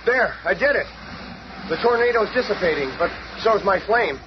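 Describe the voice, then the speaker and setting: An actor playing a plucky, cocky teenage superhero in a radio drama, eager and brash. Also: male voice, sits high, lively intonation, moderate pace. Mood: urgent, excited. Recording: muffled, very noisy old radio recording.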